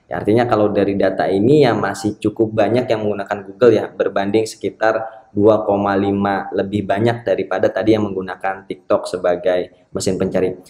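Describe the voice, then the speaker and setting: A man's voice: fairly low pitch, darkish tone. A man who works as an SEO marketer, reading a news article aloud and adding casual comments with frequent tag words. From Indonesia. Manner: reads passages, then comments with frequent "ya" and "nih" tags. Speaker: SEO marketer and channel host. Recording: echoey room.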